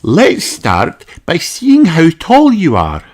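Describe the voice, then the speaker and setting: A man's voice: fairly high pitch, huge pitch swings, slow pace, noisy background, pitched above the performer's own voice, full character voice. A man voicing a cheery, encouraging female polar bear doctor in a children's storybook. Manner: bright, encouraging, explaining gently to a child. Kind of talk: children's storybook read-aloud.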